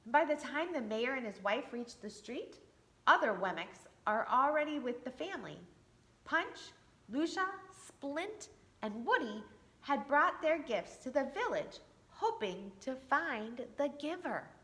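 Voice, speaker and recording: A woman's voice: sits high, sing-song, unhurried, a bit bright. A woman reading a picture book aloud to children for a church, with chatty asides to her young listeners. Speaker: church storyteller. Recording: echoey room.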